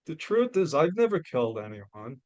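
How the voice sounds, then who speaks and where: A man with a New York accent giving a loud, blunt, emphatic motivational talk as a guest speaker. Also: fairly high pitch, very animated, normal speed, no room sound, dull audio.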